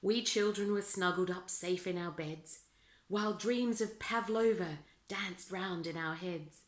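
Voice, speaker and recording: A woman reading rhyming children's verse aloud as an expressive storyteller. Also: lowish voice, measured pace. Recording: roomy, echoing indoor sound.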